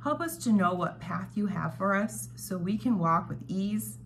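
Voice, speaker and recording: A woman's voice: mid-range voice, expressive, a little slow. A woman hosting a devotional read-along, reading prepared reflections aloud with casual asides, recorded in a dining room at home. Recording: home recording with clear background noise.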